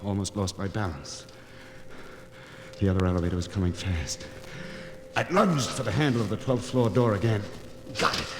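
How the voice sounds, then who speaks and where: Low-voiced hard-boiled reporter played by a man, crackly disc. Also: moderate pace, lightly acted. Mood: urgent, tense. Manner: terse, clipped, dramatic phrasing. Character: hard-boiled male newspaper reporter, grim, wry and determined. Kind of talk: 1940s radio noir acting, first-person narration. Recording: crackly, noisy 1940s radio transcription disc.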